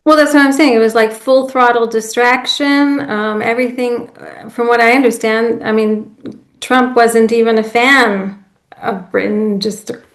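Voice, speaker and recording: A woman's voice: fairly high pitch, steady tone, a little slow. A woman giving her views in a casual chat, with a chatty, incredulous way of talking. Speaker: guest commentator.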